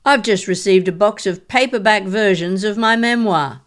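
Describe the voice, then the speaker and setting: An actress playing a wry, shrewd elderly mother and grandmother in an audio drama, speaking in a measured, reflective way. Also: mid-range voice, natural voice. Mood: cheerful, reassuring. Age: older.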